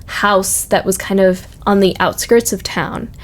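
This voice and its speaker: A woman's voice: mid-range voice, unhurried. Casual, agreeable woman in conversation. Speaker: podcast guest, university administrator. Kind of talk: casual chat.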